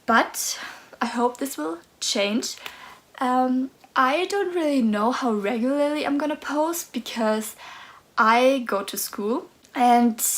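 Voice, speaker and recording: A woman in her twenties from Austria, with a German accent, talking nervously and hesitantly in a casual book vlog. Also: on the high side. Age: 20s. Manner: nervous, hesitant, soft-spoken. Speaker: book vlogger.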